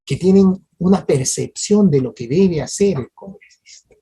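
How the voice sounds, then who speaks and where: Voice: mid-range voice, expressive, moderate pace, warm tone, slightly rough. A lecturer teaching a workshop, appealing to listeners directly with tag questions and often restarting mid-phrase. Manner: hesitant restarts and repeated words. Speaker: lecturer at a parliamentary training workshop. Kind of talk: spoken lecture to an audience.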